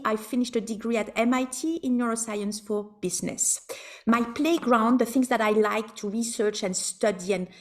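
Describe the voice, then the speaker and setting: A woman in her forties with a French accent, giving an encouraging webinar lecture over a video call. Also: on the high side. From France and Switzerland. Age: 40s.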